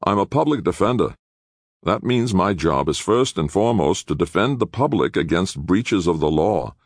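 A man's voice: low voice, expressive, normal speed, dry recording, clean studio sound, voice pushed up. A man voicing a youngish public defender in an audiobook, cold, impatient and disapproving. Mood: impatient, accusing. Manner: curt, disapproving, accusatory.

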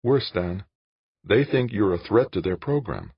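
An earnest, patient but firm woman of politics, put on by a male audiobook reader. The voice is lifted and lively, slightly rough, reasoning and pleading in a slightly dull recording. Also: on the low side, measured pace, no room sound, voice pushed up, exaggerated voice. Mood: warning.

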